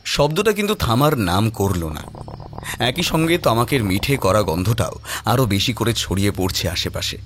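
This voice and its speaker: A man's voice: fairly low pitch, big pitch swings, normal speed, slight background noise. A man narrating a scripted horror story in a dramatic storytelling style. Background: prominent background music.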